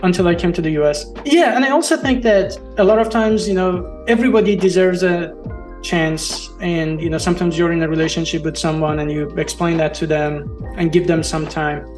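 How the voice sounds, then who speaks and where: Man, first language farsi, interview host, earnest, measured, high-pitched, faint background music. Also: darkish tone. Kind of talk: interview conversation.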